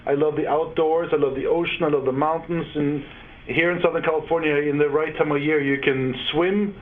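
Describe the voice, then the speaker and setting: Man, fifties, halting speech, raised pitch, phone-like call audio. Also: steady tone. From Norway. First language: Norwegian. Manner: halting, many fillers. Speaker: guest. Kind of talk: interview over a video call. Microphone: video call. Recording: tinny internet call line.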